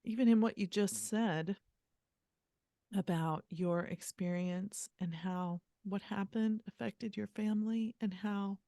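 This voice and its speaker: A woman hosting a podcast, speaking gently and with emotion in a casual interview. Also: mid-range voice, fairly even intonation, takes it slowly, no room sound, studio quality. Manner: gentle, emotional, tearful at times. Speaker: podcast host.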